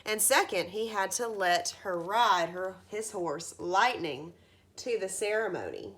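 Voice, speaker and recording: A woman in her thirties reads a picture book aloud in a markedly bright, forward voice. She sounds like a teacher addressing pupils, leaning on the openings of her phrases. Her pitch sits in an average range for a woman, and she is recorded at home in a living room. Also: animated, measured pace. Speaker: teacher reading aloud to students. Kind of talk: storybook read-aloud.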